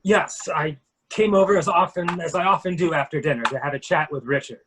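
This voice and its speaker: A man's voice: a little high, brisk, a bit bright, a little hiss or hum, close to an everyday voice. A man playing a polite, apologetic army major in a murder mystery reading, courteous and slightly hesitant. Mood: sheepish, evasive.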